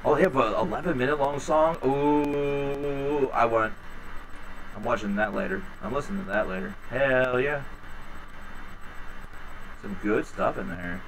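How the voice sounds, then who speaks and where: A man's voice: measured pace, creaky, long pauses, recorded from a distance, a little hiss or hum, poor audio. Tired man chatting on a livestream. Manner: rambling, low-key, tired. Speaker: livestream host reading chat. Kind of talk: casual chat with viewers. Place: small home room indoors. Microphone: headset.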